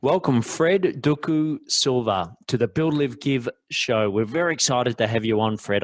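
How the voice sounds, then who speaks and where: A man's voice: medium pitch, no room sound. A man hosting a podcast interview, asking friendly and encouraging questions. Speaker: podcast host. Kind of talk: casual interview.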